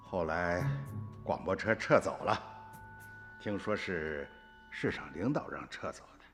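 An older man playing an old farmer grandfather in a radio drama, recounting his family's story in a folksy, unhurried way. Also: low-pitched, animated, fairly bright tone, soft background music, full character voice. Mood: reflective, troubled.

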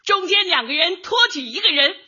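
An actress playing a cheerful, earnest older housewife and community organizer in a light comedy radio drama, chatty and expressive. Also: female voice, very high-pitched, brisk, highs cut off, heavily acted. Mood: enthusiastic presenting.

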